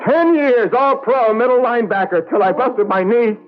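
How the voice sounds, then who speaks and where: An actor playing a sullen, defiant ex-football player and henpecked husband with coarse, blunt speech, in 1970s radio drama style. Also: male voice, very high voice, normal speed. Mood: belligerent, shouting. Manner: blunt, coarse, ungrammatical talk. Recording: muffled vintage radio recording, faint music.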